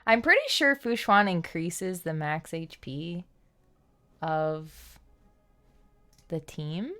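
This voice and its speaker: A woman's voice: fairly low pitch, slow pace, a bit bright. Woman casually chatting while gaming. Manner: playful, thinks aloud while playing. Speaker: game livestreamer. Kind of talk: casual gameplay commentary.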